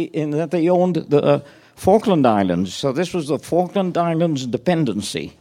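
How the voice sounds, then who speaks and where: A man's voice: fairly high pitch, a little mellow. An older man from Chile whose first language is Spanish, telling a rambling personal story on stage, chuckling and restarting his sentences.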